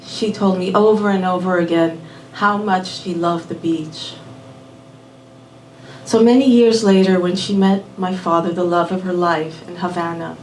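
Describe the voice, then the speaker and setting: A woman raised in Miami telling a personal story live at a small venue, unhurried and measured, dropping in Spanish words. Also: lowish voice, darkish tone, a little hiss or hum. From born in Puerto Rico, raised in Miami. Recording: roomy venue audio from a distant mic.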